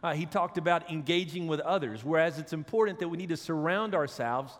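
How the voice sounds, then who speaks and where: An associate pastor with a cold, his voice deeper than usual, preaching a sermon with jokes and asides to the congregation. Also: brisk. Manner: joking, conversational preaching with audience asides. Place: church sanctuary. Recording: long hall reverb.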